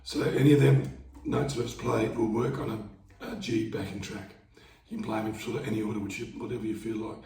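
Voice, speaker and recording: A man with a hoarse, fading voice, casually explaining how he plays guitar over backing tracks in a laid-back, rambling way. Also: on the low side, fairly even intonation, brisk, hardly any pauses, recorded from a distance, a crowd in the background. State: hoarse, losing his voice. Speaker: market busker teaching guitar. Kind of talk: casual instructional talk. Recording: roomy sound, away from the mic, guitar playing behind.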